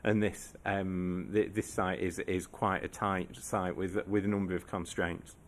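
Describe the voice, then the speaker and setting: A man briefing a council committee in a measured, formal way, pausing and restarting often. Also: low voice, flat delivery. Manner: measured, halting, frequent restarts. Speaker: council officer.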